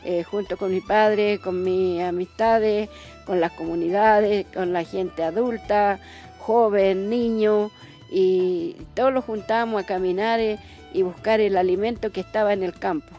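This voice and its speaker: A woman from Chile with a Chilean accent, giving testimony as a community member, pausing and searching for her words. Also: mid-range voice, steady tone, warm tone, faint music behind. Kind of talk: spoken testimony.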